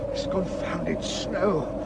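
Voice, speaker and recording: An actor playing a prim, protective village rector with a genteel, fussy manner in a radio drama. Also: male voice, sits high, steady tone, very slow, creaky, heavy background noise, heavily acted. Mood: weary, urgent.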